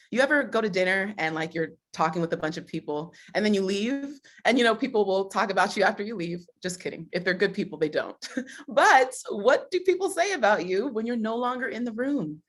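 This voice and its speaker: Upbeat woman giving a keynote talk. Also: on the low side. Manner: upbeat, laughs often, emphatic lists. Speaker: summit speaker.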